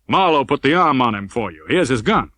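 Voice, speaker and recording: A man's voice: medium pitch, sing-song, fairly quick, a bit bright, no room sound, studio quality. A man voicing a brash, wry, tough-talking male police detective in an audiobook crime story. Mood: pleased, explanatory.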